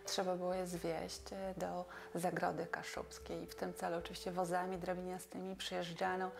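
A woman's voice: lowish voice, monotone, moderate pace, vocal fry, noisy background. A woman in her forties from Poland, talking in an explanatory documentary interview as a folk customs expert. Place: furnished indoor room. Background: music.

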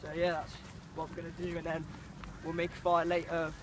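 Teen guy with a high voice swearing casually into a phone outside, lots of background noise. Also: completely flat delivery, fairly quick. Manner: blunt, sweary. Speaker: camping friend. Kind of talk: casual chat. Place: outdoors, woods. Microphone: handheld phone.

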